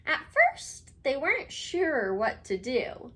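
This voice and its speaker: Woman, high and very lively voice, expressive children's story reader who leaves dramatic pauses. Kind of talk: expressive picture-book read-aloud for children.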